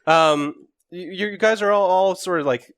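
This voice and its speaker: Guy running a tabletop game, kinda high voice, jokey and casual, making it up as he goes. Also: normal speed, a bit bright. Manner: jokey, informal. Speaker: game master.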